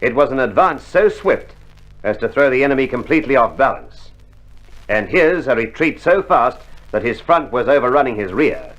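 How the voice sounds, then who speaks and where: A man narrating a scripted 1960s radio war programme in a formal, measured manner. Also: medium pitch, animated, a bit bright. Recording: muffled old vinyl. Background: faint music.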